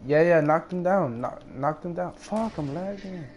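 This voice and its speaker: Mellow-toned young man, bossy gamer talk. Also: fairly high pitch, moderate pace, dull audio. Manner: slangy, profane, bossy. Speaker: video game streamer. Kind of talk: casual team gaming chat. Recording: noisy game voice chat.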